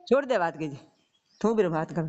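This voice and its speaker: A woman's voice: on the low side, animated, fairly quick, darkish tone. A woman with a Rajasthani accent talking casually and bluntly on a phone call, often repeating herself. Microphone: telephone. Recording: dull lo-fi phone call recording.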